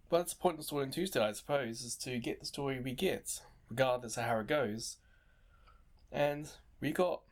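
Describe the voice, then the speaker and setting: Guy doing chill game commentary for his channel, kinda musing and chatty, medium pitch. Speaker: gaming YouTuber host. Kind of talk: casual let's-play commentary.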